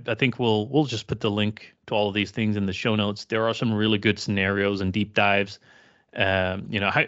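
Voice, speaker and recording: A man's voice: fairly low pitch, creaky, a bit bright, lo-fi sound. A man co-hosting a tech podcast, talking casually and hesitantly with lots of restarts. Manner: hesitant, many fillers and restarts. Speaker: podcast co-host.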